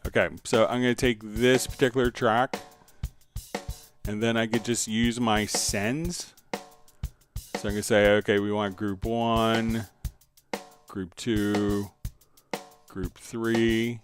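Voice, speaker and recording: A man hosting a casual live software tutorial, answering viewer questions in a conversational, step-by-step way. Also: on the low side, flat delivery, takes it slowly, pauses often, soft background music. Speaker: livestream host and software instructor.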